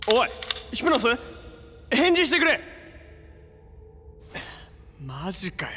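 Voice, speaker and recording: A man's voice: very high-pitched, wildly swooping pitch, slow pace, sharp tone, breathy edge, full character voice. A man playing a brash, hot-blooded young superhero in a Japanese audio drama, with cocky, slangy, exclamatory delivery. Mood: bewildered, frustrated. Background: prominent music.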